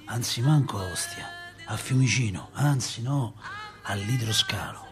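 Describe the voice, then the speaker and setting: A man's voice: medium pitch, unhurried, music in the background, close to an everyday voice. An actor playing a chatty Roman father who tells city tales in a folksy, rambling way, with a Roman accent. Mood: grieving, bitter. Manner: animated, folksy, rambling, rhetorical. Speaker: actor in a radio theatre monologue. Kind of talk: colloquial dramatic storytelling.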